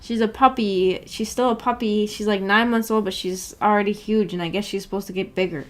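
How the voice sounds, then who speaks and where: A woman hosting a book club livestream, chatting casually and replying to viewers in a giggly, rambling, unhurried way. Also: medium pitch, fairly even intonation. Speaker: livestream host of a visual novel book club.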